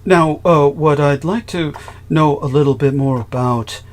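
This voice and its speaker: A man's voice: fairly high pitch, measured pace. A man hosting a podcast conversation, formal and effusive, often restarting his sentences. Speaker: host.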